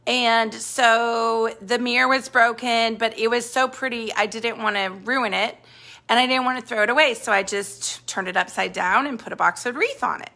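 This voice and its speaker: Bright, chatty woman giving a home tour. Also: on the high side, fairly even intonation, moderate pace. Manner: chatty and enthusiastic, with fillers. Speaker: vintage shop owner. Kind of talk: casual home tour on a live stream. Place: indoor apartment living room. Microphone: handheld phone filming the room.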